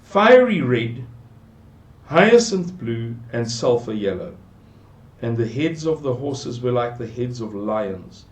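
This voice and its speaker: An older South African man teaches from scripture at a very slow pace. His voice sits in a middling register, and he muses aloud, circling back and repeating words for emphasis. The sound has a roomy echo. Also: medium pitch, very animated. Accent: South African English. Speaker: Bible teacher. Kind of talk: unhurried scripture commentary. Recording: echoey room.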